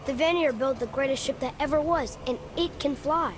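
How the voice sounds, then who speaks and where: A man's voice: extremely high pitch, fairly quick, noisy background. A young male actor playing an earnest, headstrong, curious boy in a video game, plain-spoken and eager. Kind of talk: dramatic video game acting. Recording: loud music behind the voice.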